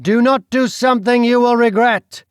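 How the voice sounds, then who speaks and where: Guy doing a menacing duke barking orders, raised pitch, loud and forceful, dry. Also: slow, clean tone, no room sound. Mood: furious. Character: commanding, menacing duke, older man.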